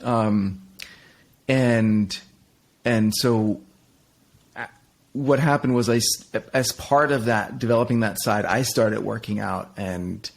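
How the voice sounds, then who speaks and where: A man's voice: on the low side, gravelly. A middle-aged man from Denmark, talking candidly as an interview guest, with frequent restarts and fillers as he reflects on his life. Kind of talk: reflective personal conversation.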